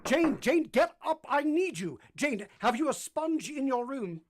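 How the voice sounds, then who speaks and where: Casting: brooding lord of the manor, abrupt and commanding, lively swooping delivery, vintage radio drama. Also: male voice, very high-pitched, measured pace, exaggerated voice. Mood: urgent, stern. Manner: imperious, abrupt, urgent. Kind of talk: old-time radio drama acting.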